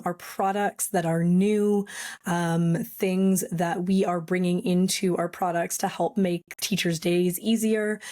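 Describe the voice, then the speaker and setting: A woman speaking as a guest on an education podcast. Her voice is slightly raspy, and she talks in a friendly, chatty way with frequent fillers. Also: fairly low pitch, darkish tone. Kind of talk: podcast interview.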